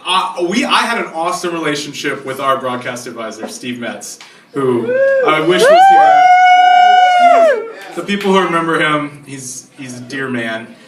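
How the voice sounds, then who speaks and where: A man's voice: a little high, huge pitch swings, normal speed, heavy background noise. A man casually telling an anecdote to a group, recorded from a distance in a roomy space. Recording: roomy echo, distant microphone.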